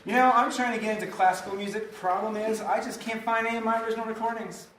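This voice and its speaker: A man's voice: sits high, fairly even intonation, fast. A man hosting a comedic variety show, delivering banter and introductions in an old-time radio format. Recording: noisy, echoey room, distant mic.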